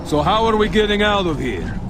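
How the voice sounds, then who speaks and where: Bitter blunt soldier, grim game dialogue, slightly raised pitch, music behind. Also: male voice, animated, fairly quick. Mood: sardonic. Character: embittered, defiant scarred male private. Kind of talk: video game voice acting.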